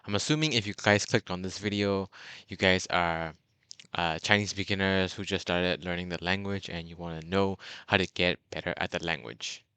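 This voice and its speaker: A man's voice: deep voice, steady tone, normal speed, sharp tone, smooth, dry recording. A young man from Burma giving a casual video lesson for beginners, chatty and full of fillers and restarts. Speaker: language tutor.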